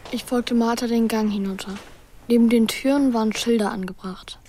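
A woman's voice: on the high side, steady tone, normal speed, a little mellow, slight background noise, lightly acted. A woman playing an anxious, sceptical young boy who acts and narrates in a children's radio play, sounding hesitant. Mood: reflective narrating. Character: anxious, doubting young boy, narrating his runaway adventure.

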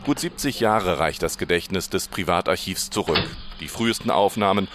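A man's voice: low voice, moderate pace, over music. A man narrating a scripted radio report as the reporter.

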